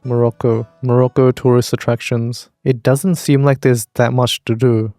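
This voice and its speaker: Young male reaction host, blunt and opinionated, medium pitch, faint music bed. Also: level tone, normal speed, warm tone, no room sound, clean studio sound. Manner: blunt, opinionated, swears freely. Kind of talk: casual reaction commentary.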